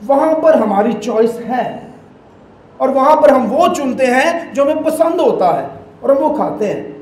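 High-voiced Pakistani priest preaching emphatically. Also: male voice, expressive, measured pace, darkish tone, reverberant, poor audio. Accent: Pakistani Urdu. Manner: emphatic preaching with sweeping hand gestures. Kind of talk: Sunday homily. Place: small church sanctuary, indoors. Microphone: stand mic at the lectern.

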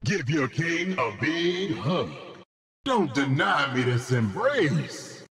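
A man's voice: a little high, big pitch swings, takes it slowly, fairly bright tone, faint music behind, full character voice. A man voicing a jovial, pompous king in a video game. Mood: grandly affectionate. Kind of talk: video game character lines. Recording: echoey hall.

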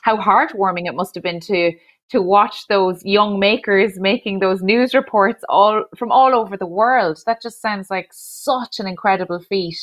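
A woman's voice: medium pitch, moderate pace. A woman in her thirties hosting a conversational online interview in an effusive, encouraging way over a video call. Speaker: host, interviewer. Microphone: headset on video call.

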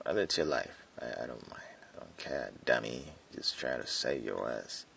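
A man voicing a jealous tsundere friend confessing hidden love, speaking haltingly in an intimate boyfriend ASMR roleplay. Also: animated, a bit bright, slight background noise, exaggerated voice. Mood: defensive, jealous. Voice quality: very deep, gravelly. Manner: hesitant, halting, with stammered repeats.

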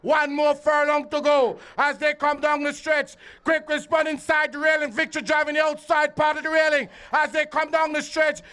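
A man's voice: very high voice, monotone, moderate pace, hardly any pauses, pushed and bright. A man calling live horse races at an outdoor track, repeating the horses' names as they run. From Belize. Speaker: race announcer. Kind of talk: live horse racing commentary. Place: outdoor racetrack.

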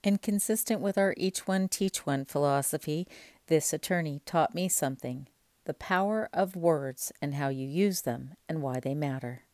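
A woman's voice: low-pitched, moderate pace, darkish tone, dry recording. A woman in her thirties, an independent court reporter, speaking earnestly and pointedly to camera in an indoor hallway. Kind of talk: to-camera commentary.

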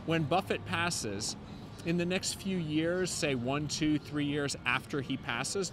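A man in his fifties explaining investing as an interview guest, confident and fluent, speaking into a handheld mic on a city sidewalk. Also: on the high side. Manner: fluent and confident, many "um" fillers. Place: city sidewalk outdoors, traffic passing. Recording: outdoor street recording with clear traffic noise.

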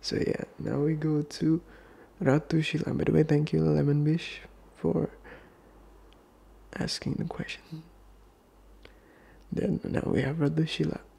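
A man's voice: a little high, flat delivery, unhurried, gravelly, pauses often, mellow, breathy edge. A young man hosting a podcast in a laid-back, casual chat, switching between Indonesian and English with a British-leaning accent and sometimes dropping to a near-whisper. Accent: Indonesian-tinged British English. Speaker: podcast host. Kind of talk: casual chat answering fan questions.

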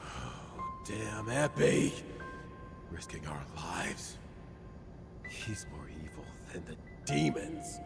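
Gravelly, grumbling soldier. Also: male voice, sits low, very animated, very slow, exaggerated voice. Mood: weary, grumbling. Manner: grumbling, resentful. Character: grumbling, weary male rank-and-file soldier. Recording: long reverb, music behind.

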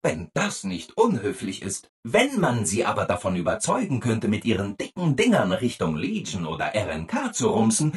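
An actor playing a relentlessly cheerful, eager-to-please robot assistant in a video game, exaggeratedly upbeat and obliging. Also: male voice, on the low side, wildly swooping pitch, moderate pace, few pauses, slightly rough, exaggerated voice. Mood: cheerfully helpful. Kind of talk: video game character acting.

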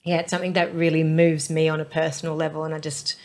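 Female interview host, 40s, deep voice, effusive and chatty. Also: level tone, fast. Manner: effusive, gushing, many affirmations. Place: bright studio set, indoors.

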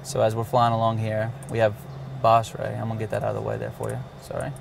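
A man with a low, gravelly voice walks through a cockpit avionics demo in a relaxed, matter-of-fact way. He speaks in a flat, even tone over faint background noise. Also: fast talker. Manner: walks through steps plainly, with fillers. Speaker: avionics demonstrator. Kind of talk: casual product demonstration.

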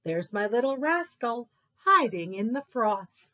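A woman's voice: a little high, big pitch swings, unhurried, sharp tone, heavily acted. A woman chanting as a playful daddy mouse. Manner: sing-song rhyming chant. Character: playful father mouse calling for his hiding child.

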